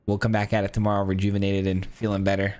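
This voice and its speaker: A man with a hoarse, cracking voice gives casual commentary on his own card game, counting aloud and thinking through his plays. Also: on the low side, monotone, quick pace, a little mellow. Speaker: gameplay streamer. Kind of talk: casual live gameplay commentary.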